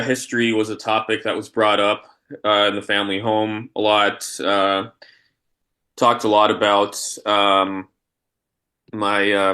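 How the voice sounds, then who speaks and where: A man born in Poland and raised in the United States, answering interview questions in a halting, deliberate, conversational way with repeated starts. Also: lowish voice, fairly bright tone. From Polish-born, raised in the United States. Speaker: interview guest, history scholar and university teacher.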